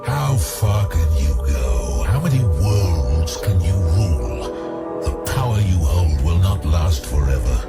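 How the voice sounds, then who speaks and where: An actor playing a huge, domineering demon lord in a fantasy audio drama, cold, grand and commanding. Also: male voice, low-pitched, expressive, slow pace, full character voice. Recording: loud music bed over voice.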